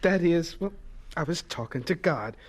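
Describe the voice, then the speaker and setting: A man's voice: fairly high pitch, lively intonation, moderate pace. An actor playing an earnest, humble young male scientist in a radio drama, polite and hesitant when flustered.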